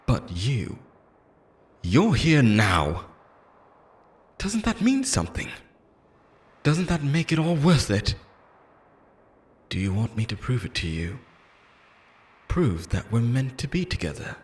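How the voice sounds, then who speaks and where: A man playing an obsessive immortal lover in an audio roleplay, theatrical and intense, shifting between pleading tenderness and menace. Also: fairly low pitch. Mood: pleading, possessive. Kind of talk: dramatic ASMR-style audio roleplay monologue.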